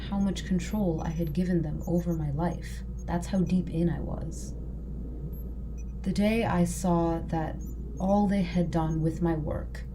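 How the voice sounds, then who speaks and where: A woman playing an earnest, composed rebel leader in an audio drama, speaking in measured, solemn, persuasive speeches. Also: on the low side, steady tone, background noise, lightly acted. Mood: solemn, confiding.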